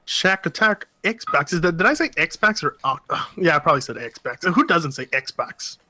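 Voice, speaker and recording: A man with a high, bright voice chats casually on a podcast, rambling and restarting his sentences with frequent laughs. His voice is recorded close and dry, with no room sound behind it. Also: lively intonation, normal speed, dry recording. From Oregon. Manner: rambling, self-interrupting, laughs a lot. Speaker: podcast co-host.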